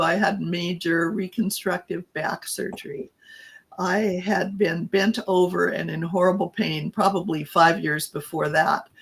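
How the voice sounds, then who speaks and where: A woman podcast co-host and coach with a scratchy, raspy voice, telling her own story in a halting, reflective way. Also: lowish voice. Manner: halting and reflective, trails off mid-thought. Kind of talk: personal storytelling in casual chat.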